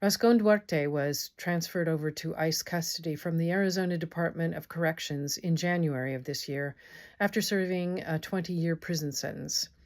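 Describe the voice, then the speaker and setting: A woman's voice: sits low, normal speed, a little mellow. A woman giving a formal scripted reading for a memorial. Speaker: reader in an online remembrance video.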